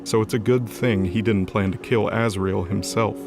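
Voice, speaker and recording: A man's voice: lowish voice, steady tone, normal speed, creaky. A man reading scripted, dramatic story narration as the narrator of an audio drama. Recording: music under the voice. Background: music.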